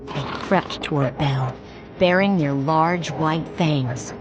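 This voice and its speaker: A woman narrating a children's read-along storybook in a clear, expressive way. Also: low voice, takes it slowly, muffled recording. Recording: music playing behind.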